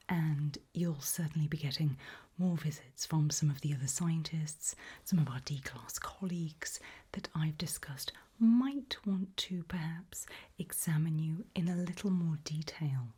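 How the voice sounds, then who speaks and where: A woman doing a whispered ASMR roleplay as a kindly, curious scientist, speaking gently and politely in a breathy whisper. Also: sits low. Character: kindly, curious research scientist interviewing an anomaly.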